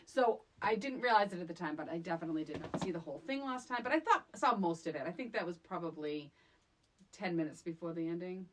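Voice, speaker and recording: A woman's voice: lowish voice, animated, quick pace. A middle-aged American woman co-hosting a casual film podcast, speaking in a wry, emphatic way. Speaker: podcast co-host.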